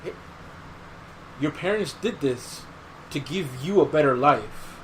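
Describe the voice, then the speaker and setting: A man's voice: a little high, lively intonation, slow pace, fairly bright tone, a little hiss or hum, poor audio. A man hosting a podcast in casual chat, swearing freely and giving his opinions in slangy talk. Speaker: podcast host.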